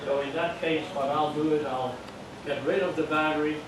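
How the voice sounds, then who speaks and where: A man's voice: fairly high pitch, steady tone, moderate pace. An older man from the Netherlands with a Dutch accent, teaching an amateur radio class and explaining step by step in a patient way. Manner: plain, patient explaining with jokes and check-ins. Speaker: amateur radio course instructor. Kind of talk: classroom lecture. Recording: echoey room from far off, noisy.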